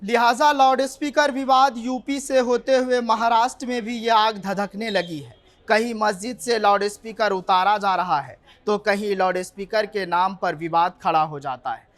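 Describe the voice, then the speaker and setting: A man's voice: high-pitched, moderate pace, hardly any pauses, a bit bright. A man presenting scripted television news in a dramatic manner as the anchor.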